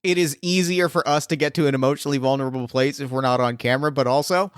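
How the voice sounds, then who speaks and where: A man's voice: medium pitch, steady tone, fast, smooth, no echo, studio quality. A man hosting a podcast as game master, talking casually and often restarting as he searches for words. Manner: rambling, gropes for words with many restarts.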